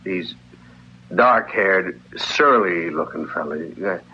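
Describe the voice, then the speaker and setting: A lowish male voice as a hesitant informer in an old radio western. He talks slowly and haltingly, repeating himself. The recording is dull with faint noise. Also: animated, full character voice. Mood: halting, cornered. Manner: halting, stammering repetitions. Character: hesitant, reluctant man informing on a gunman. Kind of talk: 1950s radio drama acting. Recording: muffled, slightly noisy old radio recording.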